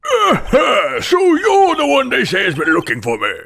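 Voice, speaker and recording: A man's voice: very high voice, huge pitch swings, moderate pace, creaky, pushed and bright, a little hiss or hum, full character voice. A man voicing a pompous, blustering older male lawyer in a video game fan dub, theatrical, evasive and old-fashioned in his phrasing. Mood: pompous, imperious.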